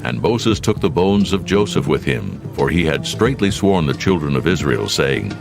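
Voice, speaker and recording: A man narrates scripture with solemn, measured delivery. His voice is extremely deep and gravelly, and music plays clearly behind him. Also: sing-song.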